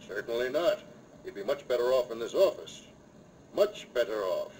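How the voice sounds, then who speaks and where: An actor playing an obliging, officious frontier town mayor in an old radio western. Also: male voice, mid-range voice, sing-song, normal speed, darkish tone, natural voice, rough recording. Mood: obliging, insistent. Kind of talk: 1950s radio western acting. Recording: old radio recording, faint noise.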